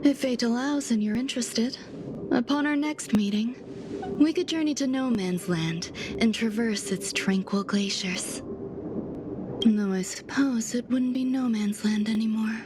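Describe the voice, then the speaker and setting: A woman voicing a calm, aloof genius scientist. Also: on the high side, noisy background, lightly acted. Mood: detached. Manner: calm, measured, softly whispered at times. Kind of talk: game cutscene voice acting. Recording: game audio with music behind. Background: music.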